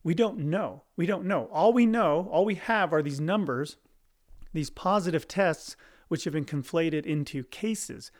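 Emphatic, higher-pitched man talking. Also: measured pace. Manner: rambling, repeats and restarts phrases. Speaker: podcast co-host. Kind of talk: casual discussion.

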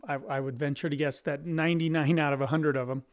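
A man's voice: a little high, fairly even intonation, quick pace. A man co-hosting a podcast in casual discussion, talking emphatically and often repeating and restarting his phrases. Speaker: podcast co-host.

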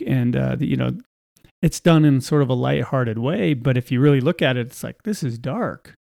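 Raspy, gravelly-voiced man, hoarse. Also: medium pitch, a little mellow, no room sound, clean studio sound. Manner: wry, joking, halting with fillers. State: hoarse from yelling. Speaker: podcast co-host. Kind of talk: casual podcast banter.

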